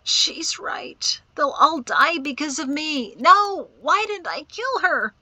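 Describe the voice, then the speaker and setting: Woman as hot-headed imprisoned young man, audiobook character, raised pitch, forceful bright tone, sarcastic, agitated. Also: animated, unhurried, no room sound. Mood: anguished, furious. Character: bitter, hot-tempered young man held prisoner in chains.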